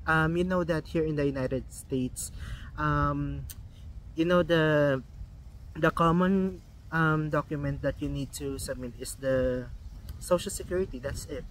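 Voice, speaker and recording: Halting Filipino-accented man, light voice. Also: fairly high pitch, steady tone, slight background noise, low-quality recording. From Philippines. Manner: halting, many ums and restarts. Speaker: vlogger. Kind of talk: casual vlog talk.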